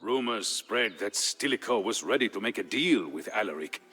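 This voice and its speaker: A man narrating as a grave Visigoth storyteller, recounting war history in a solemn, measured, theatrical way for a historical game. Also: lowish voice, animated. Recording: music behind the voice. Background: music.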